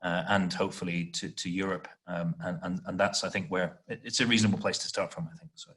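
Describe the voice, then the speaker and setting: A man answering as an executive guest in an interview, explaining off the cuff with halting, repeated words as he searches for phrasing. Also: deep voice, fairly even intonation, fairly bright tone. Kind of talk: panel interview, extemporaneous explanation.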